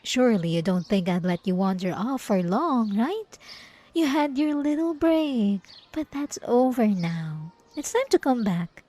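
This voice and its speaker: Slow, possessive yandere ex-girlfriend, acted by a woman. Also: a little high, lively intonation, warm tone. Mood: possessive, coaxing. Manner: drawn-out, cooing, condescending endearments. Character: possessive, obsessive yandere ex-girlfriend, sweetly menacing and gaslighting. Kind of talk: ASMR roleplay monologue to the listener.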